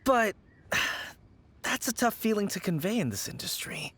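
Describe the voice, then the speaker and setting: A woman's voice: mid-range voice, very animated, moderate pace, fairly bright tone, slight background noise. A woman voicing a timid, reluctant employee in an anime game, hesitant and stammering. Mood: doubtful. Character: timid, reluctant funeral parlor employee, easily flustered.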